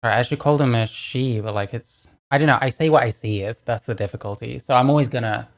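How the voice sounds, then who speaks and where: A woman hosting a reaction video, chatting casually between clips and often trailing off into quiet asides. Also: extremely low pitch, fast talker. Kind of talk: casual commentary over clips.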